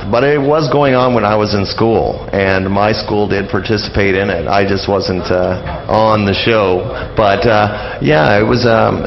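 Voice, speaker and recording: An older man speaking as a guest in a casual radio interview, in a halting, rambling way with frequent hesitations. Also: on the low side, gravelly. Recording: dull lo-fi radio interview audio.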